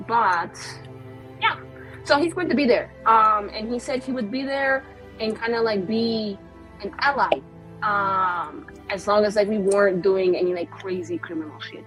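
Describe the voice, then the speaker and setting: A woman's voice: medium pitch, unhurried, fairly bright tone, soft background music, poor audio. A young woman playing a tabletop roleplaying game, chatting in character with lots of fillers and giggles. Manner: rambling, filler-heavy, giggly. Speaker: player.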